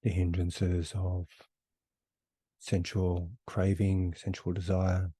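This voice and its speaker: Male meditation teacher, very slow delivery in spaced phrases, slightly husky edge, close dry sound. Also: low-pitched, monotone, mellow, no echo. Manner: unhurried, phrase by phrase with long pauses. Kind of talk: guided meditation.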